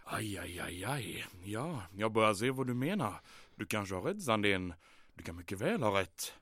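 A pompous, sharp-voiced commandant. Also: male voice, fairly low pitch, big pitch swings, no room sound. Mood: deflated, worried. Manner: pompous, theatrical, swinging between orders and self-pity. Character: vain, bombastic German Nazi commandant, easily flustered. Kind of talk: old-time radio comedy drama acting.

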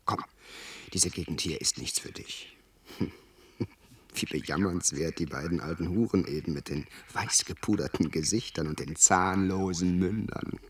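An actor playing an impatient, theatrical gentleman with an insistent, dramatic manner in a radio play. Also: deep voice, slightly rough, exaggerated voice. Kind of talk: German radio play acting.

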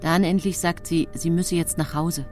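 An actress in a German radio play voicing a coldly scheming, pious-sounding mother, narrating her own story in a measured, confessional murmur. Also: lowish voice, steady tone, darkish tone, soft background music, natural voice. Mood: hushed grieving.

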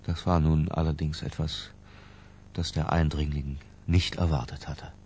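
An obsessive, brooding middle-aged narrator in a radio drama. The voice is very low and gravelly, deliberate and literary, sometimes hushed. Faint noise and rumble sit behind it. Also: male voice, exaggerated voice. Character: obsessive, tense middle-aged man confessing in retrospect.

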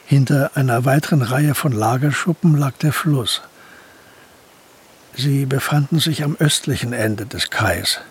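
An actor as the narrator of a historical crime radio drama, reading scripted literary third-person prose. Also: male voice, medium pitch, unhurried, breathy edge. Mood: narrating.

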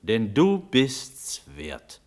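An actor playing a passionate, defiant young prince in a classic radio drama, declaiming verse with fervour. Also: male voice, mid-range voice, huge pitch swings, very slow, darkish tone, full character voice. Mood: exalted, grateful. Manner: declamatory, impassioned.

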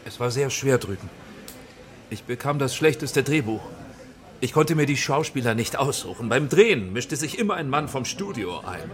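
Actor as a proud, animated low-voiced exiled director. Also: male voice, normal speed, close to an everyday voice. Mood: bitter. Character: proud, evasive middle-aged male film director in exile. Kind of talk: German radio drama acting.

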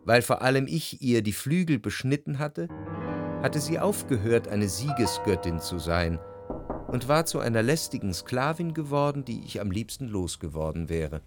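Guy acting as moody indecisive young man, radio drama narration, thoughtful and measured, music playing behind him. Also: mid-range voice, lively intonation, lightly acted. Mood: brooding, melancholy. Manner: reflective, measured, introspective. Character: indecisive, brooding young man reflecting on his love. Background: instrumental music.

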